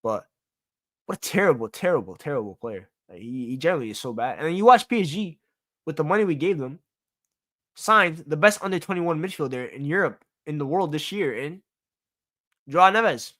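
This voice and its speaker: A man's voice: on the high side, lively intonation, fairly quick, a bit bright. A young man hosting his own sports talk show, venting in a casual, exasperated rant full of repeats and swearing.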